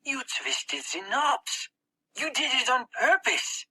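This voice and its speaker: An audiobook teenage boy acted out by a narrator, cornered and defiant. The voice is pushed high and strained, with a sharp bright edge. It is rough rather than smooth, and every phrase is emphatic. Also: slow, exaggerated voice. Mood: accusing. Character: Max, snarling Hitler Youth teenage boy, cornered, defiant. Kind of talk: audiobook character voice.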